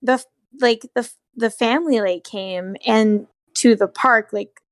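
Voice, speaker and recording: A woman's voice: a little high, a little slow, no room sound, clean studio sound. A young woman telling stories in a casual, gossipy way, with slangy, sweary speech peppered with "like". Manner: sweary, slangy, heavy use of "like". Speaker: former theme park character performer telling stories.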